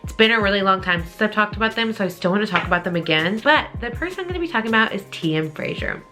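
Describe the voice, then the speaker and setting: A woman's voice: mid-range voice, expressive, racing, bright, forceful tone, faint music behind. A woman talking casually to viewers as a book vlogger, in an informal, conversational way with frequent hedging asides. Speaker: book reviewer video host. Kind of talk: casual chatty vlog talk to viewers.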